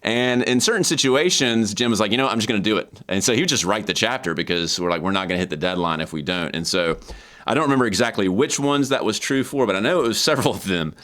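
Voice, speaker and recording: Low-voiced man, informal scholarly explainer. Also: fast, fairly bright tone. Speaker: host and book reviewer. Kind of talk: informal explanatory walkthrough of an academic book.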